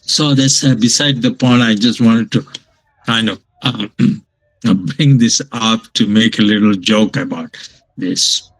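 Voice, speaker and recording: An older man from Bangladesh, a professor giving a lecture from his home over a video call, pausing often with fillers and restarts. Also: on the low side, steady tone, poor audio. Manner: slow, halting delivery with many fillers and restarts. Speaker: guest lecturer.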